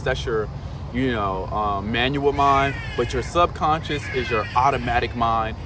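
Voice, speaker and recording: A man in his thirties giving a casual self-help talk, loose and swearing, full of fillers and restarts. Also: medium pitch. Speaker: motivational vlogger. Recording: outdoor traffic noise behind.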